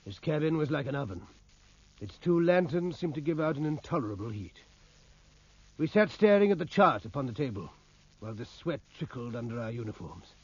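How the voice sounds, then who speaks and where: Naval officer narrator, husky, medium pitch, grave dramatic storytelling, muffled vintage radio. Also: male voice, big pitch swings, a little fast. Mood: grave, atmospheric. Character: grave naval officer recounting his own adventures.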